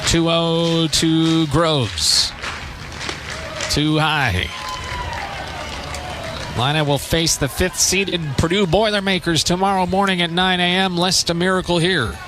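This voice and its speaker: A man calling live baseball play-by-play on the radio in measured, clipped phrases with pauses between them. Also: a little high, background noise. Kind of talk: live radio sports commentary.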